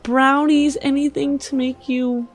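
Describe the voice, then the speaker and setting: Slow, high-voiced woman playing tabletop roleplay. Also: flat delivery, faint music behind. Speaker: tabletop game player. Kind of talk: improvised in-character roleplay.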